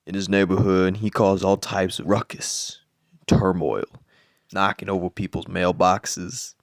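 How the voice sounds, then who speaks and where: A man improvising a crude bedtime story in a deadpan, rambling way, with lots of swearing and repeated words. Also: deep voice, unhurried, dry recording, clean studio sound.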